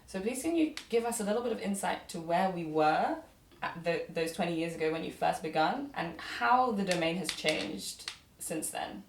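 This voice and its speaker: Brief: woman podcast host, lowish voice, polite scripted questions, recorded from a distance in an echoey room. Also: expressive, moderate pace. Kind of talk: conversational podcast interview. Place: conference venue, indoors. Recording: echoey room, distant mic, faint noise.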